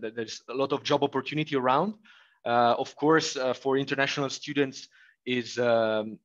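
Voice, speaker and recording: A man's voice: medium pitch, a bit bright, no echo, highs cut off. A young man from Italy with an Italian accent, answering interview questions casually and hesitantly, with many fillers and restarts. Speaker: former international student.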